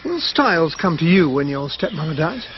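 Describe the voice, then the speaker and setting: Animated, genteel British army officer. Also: male voice, a little high, normal speed, muffled recording, slight background noise. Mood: curious. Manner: genteel, expressive. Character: courteous, observant army officer narrating a country-house mystery. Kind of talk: period radio drama narration and dialogue.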